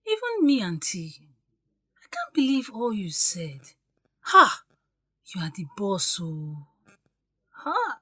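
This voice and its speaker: A cheeky young Nigerian woman played by an actress in an audio drama. She exclaims in slow, teasing mock amazement, her bright voice swooping dramatically. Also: mid-range voice, wildly swooping pitch, breathy edge, no room sound, studio quality, full character voice.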